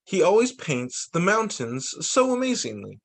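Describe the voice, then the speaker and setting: A man who teaches young children, reading picture books aloud in an expressive, sing-song storytime delivery. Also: sits high, moderate pace, vocal fry. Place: remote video call story session.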